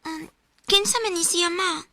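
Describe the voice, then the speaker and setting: A woman's voice: extremely high pitch, unhurried, bright, forceful tone, heavily acted. A woman playing a polite, trusting schoolgirl daughter in a radio drama, with a Filipino accent. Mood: polite, hesitant.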